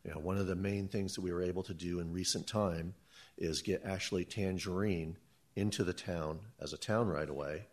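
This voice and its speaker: A man who is a town engineer, speaking into a handheld microphone at a public meeting, explaining plainly with some restarts and a loud voice. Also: low-pitched, fairly even intonation, normal speed.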